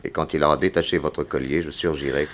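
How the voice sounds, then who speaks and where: A man playing a grave, persuasive detective in a 1960s French radio serial, formal, deliberate and insistent. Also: a bass voice, steady tone. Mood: firm, commanding. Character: grave, persuasive male detective, solemnly warning a young woman.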